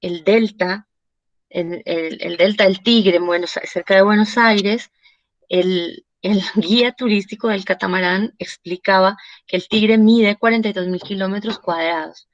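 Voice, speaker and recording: A woman with a Salvadoran Spanish accent speaks as a lecturer explaining to her students. Her pitch is average, and she talks discursively, adding short tag questions to check in. The recording is dry, with little room sound, and a bit dull and lo-fi. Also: fairly even intonation, a little slow, dry recording. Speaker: university lecturer. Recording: slightly dull, lo-fi audio.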